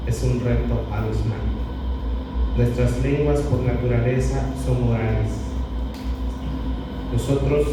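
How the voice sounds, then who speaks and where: Man speaking from far off in an echoey hall. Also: medium pitch. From southern Veracruz, Mexico. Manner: measured, reflective, speaks in short phrases. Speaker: translator presenting a book. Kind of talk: public talk at a book presentation. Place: cultural center hall. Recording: echoey hall, distant mic, loud noise.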